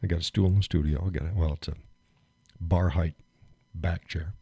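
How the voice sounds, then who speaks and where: A man hosting a live stream, chatting casually and rambling with fillers and chuckles, phlegmy and clearing his throat. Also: low-pitched, steady tone, normal speed, dark tone, no echo. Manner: rambling, chuckling, thinks aloud with many fillers. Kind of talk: casual chat reading viewer comments.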